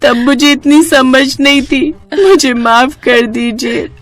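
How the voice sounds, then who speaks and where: A woman's voice: on the high side, normal speed, darkish tone, close to an everyday voice. A woman voicing a timid, naive, unschooled young wife who talks hesitantly and pleadingly in a cartoon story. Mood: tearful, pleading.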